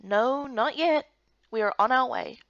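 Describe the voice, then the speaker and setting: A woman voicing a spirited, curious young heroine of a fantasy game, raised and expressive in delivery. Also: a little slow, vocal fry, dry recording, lo-fi sound, heavily acted. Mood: eager, guarded. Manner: higher, louder, expressive.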